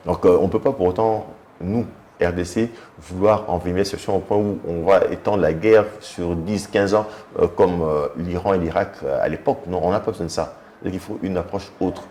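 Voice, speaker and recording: A man, a guest in a televised political debate, arguing in a measured way with many hesitations. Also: sits low, mellow, a little hiss or hum. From Democratic Republic of the Congo. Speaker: guest commentator.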